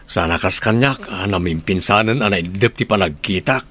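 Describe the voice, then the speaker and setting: A devoted father and suitor in a radio drama. His voice is on the low side, and he talks in a reassuring, familial way. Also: normal speed, fairly bright tone.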